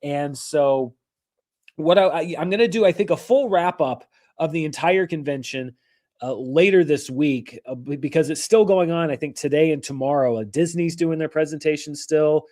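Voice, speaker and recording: A hoarse man hosting a casual live-stream about movie news, rambling and correcting himself as he goes. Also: fairly high pitch, normal speed, darkish tone. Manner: rambling, self-correcting, chatty. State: hoarse from allergies.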